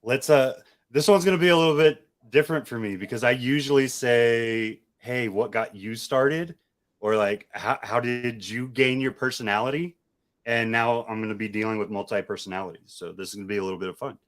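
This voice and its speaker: A man hosting a casual podcast, talking in a relaxed, rambling way with lots of fillers. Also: medium pitch, a little fast. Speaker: podcast host.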